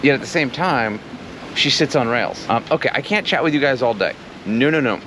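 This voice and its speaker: A man's voice: medium pitch, expressive, a little fast. A man casually explaining things to the camera on a sailboat at sea, chatty and enthusiastic, with fillers. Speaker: solo sailor and channel host. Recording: wind and water noise on a sailboat.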